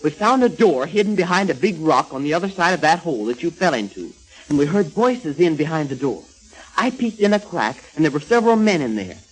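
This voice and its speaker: Excitable boy adventurer, high-pitched, lively, earnest, vintage radio drama. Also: fairly quick, slightly rough, low-quality recording. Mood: eager. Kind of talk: 1940s children's radio serial acting.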